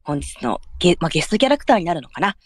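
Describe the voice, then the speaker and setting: A low, expressive woman's voice, close and dry. She hosts a live stream in polite, chatty announcements, punctuated by short affirmations. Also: very fast, dry recording. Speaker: streamer hosting an audio drama broadcast.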